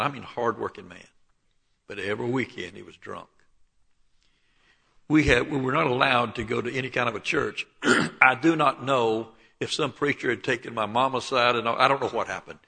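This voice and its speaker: Older guy from lower alabama preaching in church, bright husky voice, keeps clearing his throat. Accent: Southern US, Alabama. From southern Alabama. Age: 70s. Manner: folksy storytelling with asides and clearing his throat. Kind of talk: sermon with personal testimony.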